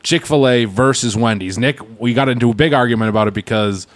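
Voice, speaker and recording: A man co-hosting a podcast in casual chat, halting and restarting his sentences. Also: medium pitch, dry recording. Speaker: podcast co-host.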